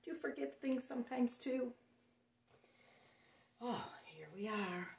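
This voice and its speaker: A woman's voice: a little high, lively intonation, slow pace, creaky, darkish tone, slight background noise. A woman reading a picture book aloud to children in a playful, sing-song way, recorded indoors. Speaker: children's story reader. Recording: echoey room, mic some distance away.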